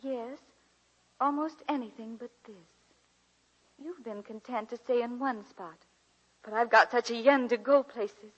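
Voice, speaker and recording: An actress playing a romantic, impulsive young grandniece with dramatic earnestness, in 1940s radio drama style. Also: female voice, on the high side, a little slow, long pauses. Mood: yearning. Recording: dull 1940s radio broadcast recording.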